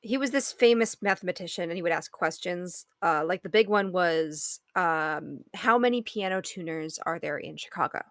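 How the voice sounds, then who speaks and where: A woman's voice: lowish voice, moderate pace, few pauses, a bit bright, dry recording, clean studio sound. A woman acting an affectionate, teasing wife in a naturalistic audio drama table read, conversational and playful. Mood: eager explaining. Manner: conversational, playful, explains with examples. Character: affectionate, teasing wife consoling and humoring her partner.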